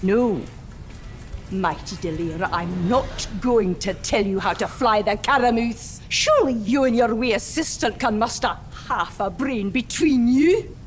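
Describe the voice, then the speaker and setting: A woman's voice: a little high, unhurried, faint music behind, natural voice. An actress playing an ancient, eccentric female master forger in a video game, haughty, teasing and theatrical. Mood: scornful. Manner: theatrical, mocking. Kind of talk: video game cutscene acting.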